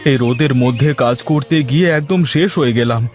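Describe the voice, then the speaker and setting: A man's voice: mid-range voice, quick pace, darkish tone, soft background music, lightly acted. A man voicing a weary, grumbling village farmer in a cartoon folk tale, with exclamatory, folksy talk.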